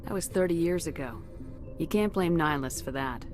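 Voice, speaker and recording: A composed female military commander voiced by a woman from a video game. Her voice sits a little low, and she questions and instructs with firm, steady authority. Soft music plays behind her. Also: fairly quick, natural voice. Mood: firm, questioning.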